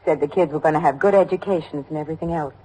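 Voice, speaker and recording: A middle-aged American housewife, with a husky, slightly rough-edged voice. She is homely and chatty, rambling along and anxious to please, in the manner of an old radio drama. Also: deep voice, racing, mellow, low-quality recording. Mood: matter-of-fact reminiscing.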